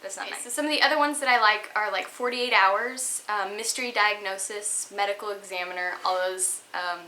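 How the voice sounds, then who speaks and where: A woman's voice: medium pitch, a little fast, sharp tone, slight background noise, rough recording. A woman in her twenties casually answering viewer questions at home, chatty and giggly with lots of ums and likes. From Texas. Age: 20s. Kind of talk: casual chat. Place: home room indoors. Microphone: webcam at desk.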